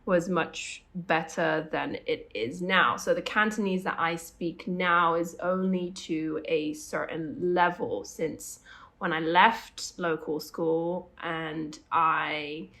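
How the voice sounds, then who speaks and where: A woman's voice: fairly low pitch, steady tone, a bit bright. A young woman from Hong Kong co-hosting a casual podcast chat, rambling and correcting herself as she goes. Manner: rambling, self-correcting, quick asides. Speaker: podcast co-host.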